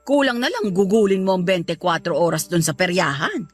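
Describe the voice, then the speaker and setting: Nagging, animated old Filipina grandmother played by an actress. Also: on the low side, moderate pace, soft background music, natural voice. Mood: surprised, indignant. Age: older. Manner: scolding, exclamatory, expressive. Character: nagging old Filipina grandmother, blunt but caring mother. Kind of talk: Tagalog radio drama acting.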